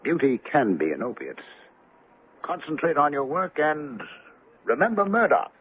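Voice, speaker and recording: Genial middle-aged banker, radio drama, formal and avuncular, animated intonation, narrow old-radio sound. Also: male voice, fairly high pitch, moderate pace, slightly rough, full character voice. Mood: grave, cautioning. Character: genial, courtly fifty-seven-year-old bachelor banker settled in Honolulu.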